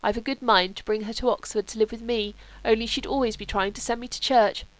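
A woman's voice: on the high side, steady tone, fast, no echo, lightly acted, poor audio. A woman voicing a moody, wry young upper-class male student. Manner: languid, wry, turning curt. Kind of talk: audiobook character voice.

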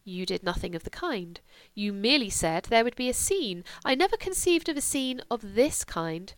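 A woman's voice: a little high, big pitch swings, moderate pace, no room sound. A woman voicing a stern, devout older aristocratic mother who speaks in a cool, reproving way. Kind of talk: audiobook character voice.

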